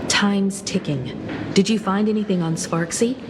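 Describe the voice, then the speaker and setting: A woman's voice: mid-range voice, measured pace, lightly acted. A woman playing a stern, impatient, businesslike character in a video game, curt and serious. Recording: clearly noisy background.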